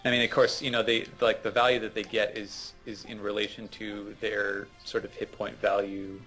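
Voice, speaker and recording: A man co-hosting a gaming show, chatting casually and explaining things with hesitant pauses and fillers. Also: lowish voice, little pitch movement, sharp tone, faint music behind, poor audio. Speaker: gaming co-host. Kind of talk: casual chat, game advice.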